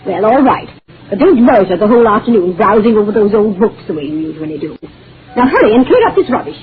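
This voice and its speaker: A woman performs a middle-aged wife who rules the household, scolding and sharp-tongued. Her voice swings dramatically up and down in 1940s radio style. The sound is narrow and tinny, like an old broadcast. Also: medium pitch, big pitch swings, quick pace, crowd noise, natural voice. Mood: bossy, exasperated. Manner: domineering, nagging, sharp-tongued.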